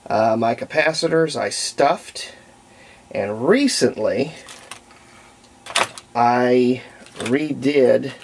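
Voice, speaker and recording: A middle-aged American man giving a casual, unscripted show-and-tell as a hobbyist, in a halting, folksy way, often trailing off mid-phrase. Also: medium pitch, expressive, creaky, slightly rough. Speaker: hobbyist presenter demonstrating a homebuilt radio. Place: indoors at a cluttered hobby desk. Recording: faint background noise.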